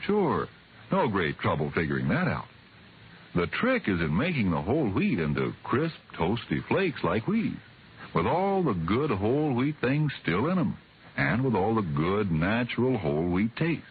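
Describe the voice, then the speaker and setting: A man's voice: medium pitch, very animated, moderate pace. A man delivering a folksy, scripted 1950s radio commercial. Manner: folksy, rhetorical questions. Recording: narrow vintage radio recording, faint noise.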